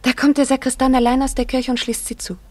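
An actress playing a young, warm-hearted village girl with a secret, earnest and often hushed, in a German radio drama. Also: high voice, flat delivery, quick pace, dry recording, natural voice. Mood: eager, bright.

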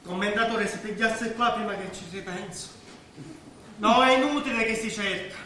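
Very high southern Italian hustler. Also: male voice, normal speed, pushed and bright. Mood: indignant. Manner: colloquial, ingratiating. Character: wheedling, comic male good-luck lottery hustler. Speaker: stage actor. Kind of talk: theatrical stage dialogue. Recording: distant, echoey stage recording, faint noise.

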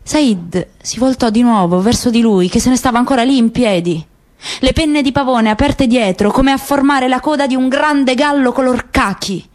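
A woman narrating a literary radio reading in a measured, unhurried storytelling manner. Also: on the high side.

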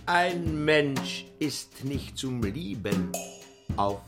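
A man's voice: medium pitch, expressive, drawn-out words, music in the background. A man delivering dramatic scripted narration in a radio play. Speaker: narrator, commentator.

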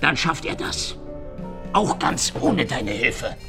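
An actor playing a cynical, streetwise Soviet secret police investigator in a German radio crime drama, sardonic, insistent and swaggering. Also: male voice, medium pitch, very animated, takes it slowly, creaky, over music, full character voice. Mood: needling, swaggering.

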